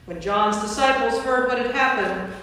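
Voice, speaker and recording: A woman's voice: on the high side, normal speed, fairly bright tone, a little hiss or hum. Woman narrating scripture in a cavernous echoey hall. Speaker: narrator, priest. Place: large indoor church. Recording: echoey church hall from far off.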